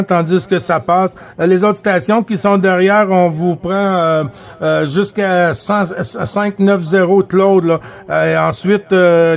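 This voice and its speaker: A man with a Québécois accent, chatting on a CB radio contact, hesitant with many filler sounds. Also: high voice. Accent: Québécois French. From Quebec. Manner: hesitant, frequent filler sounds, friendly sign-offs. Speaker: CB radio operator. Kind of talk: casual radio contact chat.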